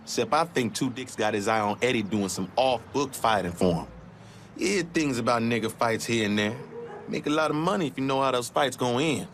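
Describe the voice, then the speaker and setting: A man's voice: mid-range voice, expressive, fairly quick, fairly bright tone, a little hiss or hum. A man playing a proud, streetwise young boxer in a crime game, talking in loose street slang. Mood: aggrieved, wary. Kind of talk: dramatic video game acting.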